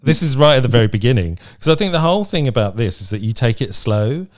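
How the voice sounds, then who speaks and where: A man's voice: mid-range voice, very animated, quick pace. A man co-hosting a podcast, chatting casually and frankly in a matter-of-fact way. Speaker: podcast co-host. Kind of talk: casual chat. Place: remote podcast recording.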